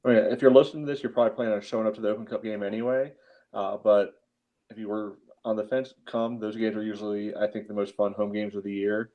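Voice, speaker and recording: A man's voice: fairly low pitch, little pitch movement, quick pace. A man co-hosting a sports podcast in casual post-game chat, on a slightly dull lo-fi recording. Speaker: podcast co-host.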